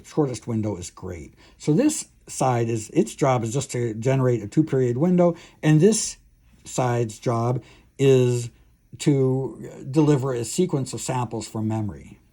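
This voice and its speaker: Male professor, mid-pitched and a little husky; halting technical lecture delivery, thinking aloud as he explains. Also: warm tone. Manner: halting, thinking aloud with frequent filled pauses and restarts.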